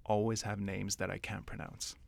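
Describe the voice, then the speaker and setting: A man's voice: fairly low pitch, little pitch movement, moderate pace, vocal fry, noisy background. A man in his thirties from Canada, making wry asides in a casual film discussion. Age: 30s.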